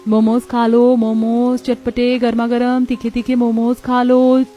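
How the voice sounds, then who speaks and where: A woman's voice: fairly high pitch, completely flat delivery, measured pace, warm tone, close to an everyday voice. A woman voicing a poor, hardworking, tender young mother who sells momos in a cartoon story. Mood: calling out.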